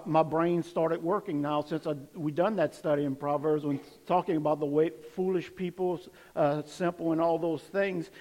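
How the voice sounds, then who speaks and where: A male pastor with a hoarse voice, preaching a casual, energetic sermon to his congregation in a church sanctuary. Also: a little high, in a large hall, low-quality recording. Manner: energetic, calls for praise with amens.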